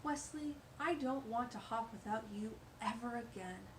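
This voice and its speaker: A woman's voice: fairly high pitch, normal speed, slight background noise, natural voice, low-quality recording. A woman reading as a sweet male bunny in a children's storybook, earnest and tender. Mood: tender, happy.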